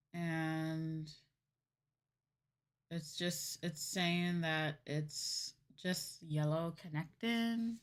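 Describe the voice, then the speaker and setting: A woman livestreamer chatting casually and thinking aloud, muttering a little hesitantly to herself. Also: on the low side. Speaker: VTuber livestreamer.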